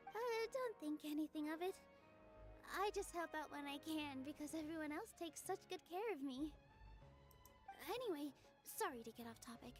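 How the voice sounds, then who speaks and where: A woman voicing a gentle, polite young female dancer who speaks softly and apologetically. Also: sits high, animated, normal speed, soft background music. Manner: soft-spoken, apologetic and courteous.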